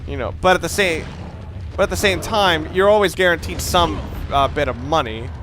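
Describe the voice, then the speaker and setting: A man's voice: a little high, moderate pace, noisy background. A young man giving casual, rambling, opinionated commentary while playing a video game, with the odd curse word. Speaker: let's play commentator.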